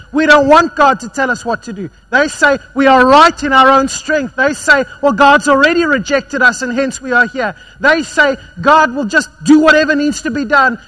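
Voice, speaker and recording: A man preaching a sermon, swinging between loud emphatic passages and quiet, low ones. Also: low-quality recording.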